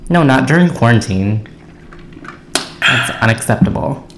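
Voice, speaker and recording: A man's voice: medium pitch, expressive, a little slow, vocal fry. A man born in the Philippines co-hosting a podcast in sassy, casual banter. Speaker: podcast co-host. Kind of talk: casual chat. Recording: echoey room, faint room hiss.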